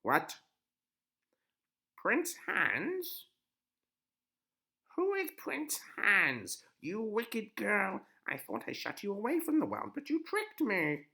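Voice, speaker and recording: A man voicing a wicked, gloating old witch in a bedtime story, with cackles, shrieks and drawn-out calls. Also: high voice, huge pitch swings, creaky, bright, forceful tone, pitched above the performer's own voice, heavily acted. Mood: furious.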